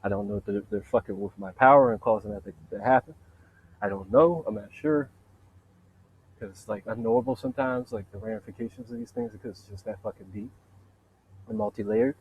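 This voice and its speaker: A man with a deep voice in a rambling, profane, boastful solo monologue, wandering from point to point. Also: lively intonation, brisk, highs cut off, a little hiss or hum. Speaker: vlogger.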